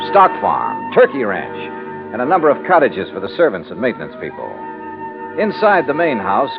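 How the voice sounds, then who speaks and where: An actor playing a wry, no-nonsense insurance investigator, crisp and probing, in mid-century American radio detective style. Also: male voice, medium pitch. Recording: dull old radio recording.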